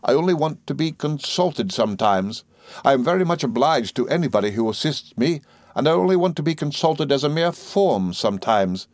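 A man's voice: mid-range voice, moderate pace, darkish tone, no room sound, voice pushed up, natural voice. A man voicing a timid, tearful young wife and mother who pleads falteringly in a storybook reading. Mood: tearful, aggrieved. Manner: faltering, pleading, repeating herself.

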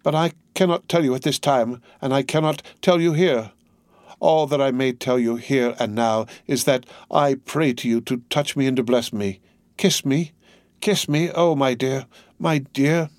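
A man reading aloud, voicing a young woman who gently and earnestly pleads with her father. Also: mid-range voice, a little slow, dry recording, close to an everyday voice. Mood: pleading. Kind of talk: audiobook character voice.

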